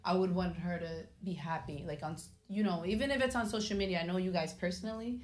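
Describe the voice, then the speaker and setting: A woman's voice: on the low side, fast, reverberant. A woman co-hosting a podcast, chatting candidly about family and relationships. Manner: candid, loud and teasing at times. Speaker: podcast co-host. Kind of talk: casual chat.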